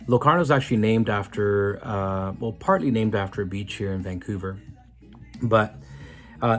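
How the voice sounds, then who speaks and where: A middle-aged man from Mexico telling a personal story in an earnest, reflective way, often restarting his sentences. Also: fairly low pitch. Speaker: musician. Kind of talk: personal story told to camera.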